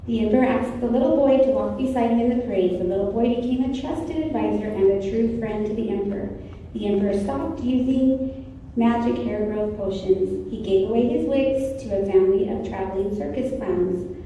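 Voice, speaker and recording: A high-voiced woman narrates a storybook play from a script. Her tone is very mellow, and she is heard far from the microphone in a heavily echoing hall with a muffled sound. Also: normal speed, slight background noise. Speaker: narrator in a class readers' theater play. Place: large echoey room, distant microphone.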